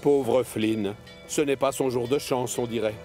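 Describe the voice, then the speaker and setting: A man narrating a children's cartoon in French, with an expressive storytelling manner. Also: mid-range voice, a little slow, faint music behind.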